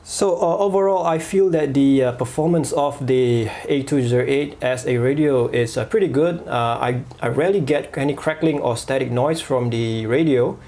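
A man reviewing a walkie-talkie at a desk, pausing often with fillers and restarts. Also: mid-range voice, mellow. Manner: halting, many fillers and restarts. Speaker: gadget reviewer. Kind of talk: product review voice-over.

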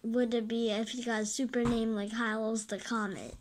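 A woman's voice: on the high side, flat delivery, normal speed, slight background noise. A woman reading a children's graphic novel aloud and voicing the dialogue, stumbling over and repeating words now and then. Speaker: storybook reader.

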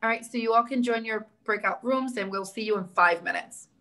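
A woman in her thirties with a New York accent hosting a town hall on a video call, talking in a brisk, organized, encouraging way. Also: on the high side, bright, forceful tone. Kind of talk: moderating a video call meeting. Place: indoor room with big windows. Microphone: laptop webcam on a video call.

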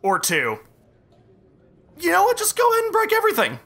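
A man's voice: very high-pitched, huge pitch swings, fairly quick, a little hiss or hum, full character voice. A man voicing a cocky, self-important young soldier with a sarcastic manner in a comedic anime parody. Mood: sarcastic, smug. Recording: loud music behind the voice.

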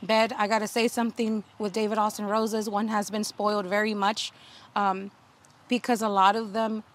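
A woman in her thirties giving a casual tour of her home garden outdoors, talking in a chatty way with many fillers and restarts. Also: mid-range voice. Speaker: garden video host.

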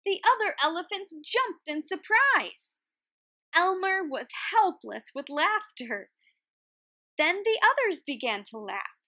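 A woman reading a children's picture book aloud in an expressive, deliberate way, like a teacher. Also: very high voice, no room sound. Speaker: read-aloud storyteller, art teacher.

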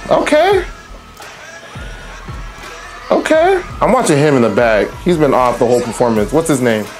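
A man's voice: mid-range voice, moderate pace, a faint crowd. Man, 30s, reaction host, stuffy and congested, easygoing slangy banter, faint music underneath. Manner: relaxed, joking, slangy asides. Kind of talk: casual reaction commentary. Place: small home room, indoors. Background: faint music from the video he watches.

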